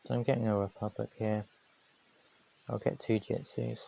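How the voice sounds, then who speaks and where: A man calmly commentating his own strategy game on a live stream, his voice going hoarse, from New Zealand. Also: lowish voice, normal speed, muffled recording, a little hiss or hum. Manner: calm, matter-of-fact strategy talk.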